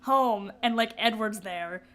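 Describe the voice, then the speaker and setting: A woman's voice: fairly high pitch, takes it slowly, a bit bright. A woman co-hosting a podcast in casual, slangy book chat, giggly and playfully mimicking dialogue as she retells it. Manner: informal, slangy. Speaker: podcast co-host.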